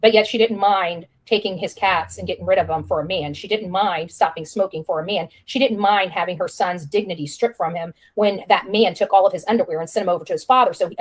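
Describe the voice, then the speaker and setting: A woman's voice: on the low side, fast, hardly any pauses, rough recording. A woman giving opinionated live-stream commentary in an accusatory, insistent manner, repeating phrases for emphasis. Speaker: online commentator.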